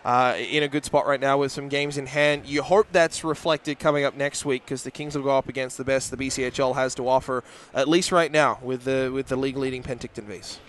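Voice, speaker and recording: A man's voice: medium pitch, fairly even intonation, fairly quick, hardly any pauses, a bit bright, a little hiss or hum. A man in his thirties, a team broadcaster interviewing a coach after a game at an indoor rink on a headset mic. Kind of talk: postgame interview.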